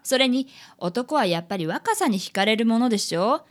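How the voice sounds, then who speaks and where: Woman acting a haughty lady executive, high voice. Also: animated, moderate pace, natural voice. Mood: self-satisfied. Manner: condescending, ladylike, self-satisfied. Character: haughty, wealthy female company president, imperious client. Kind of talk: comedic radio drama acting.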